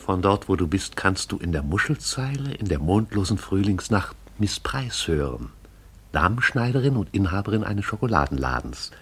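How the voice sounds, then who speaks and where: Deep, husky, hushed male narrator. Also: sing-song, normal speed. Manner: hushed, incantatory, intense. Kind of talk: poetic scripted radio play narration.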